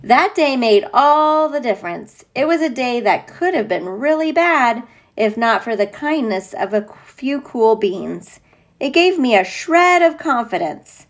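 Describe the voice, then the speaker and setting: A woman teacher reading a picture book aloud to young children, expressive and playful, with gushing, chatty asides. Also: fairly high pitch.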